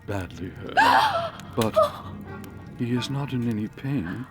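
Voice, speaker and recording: A man's voice: mid-range voice, expressive, slow pace, a little mellow, soft background music. A man playing an uneasy, apologetic company messenger in an audio drama, formal, hesitant and deferential. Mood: uneasy, subdued.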